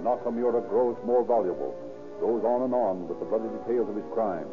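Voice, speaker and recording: A man with an American accent, a 1930s radio announcer narrating and reading sponsor copy in a measured, declamatory way. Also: lowish voice, loud music behind the voice. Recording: muffled 1930s radio, faint noise.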